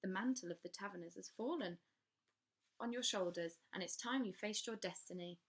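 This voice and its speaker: A stern older governess who gives orders in a firm, precise manner, as a woman narrator puts her on. The voice is raised and clipped, with a gravelly edge. Storybook reading. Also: mid-range voice, moderate pace, natural voice. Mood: commanding.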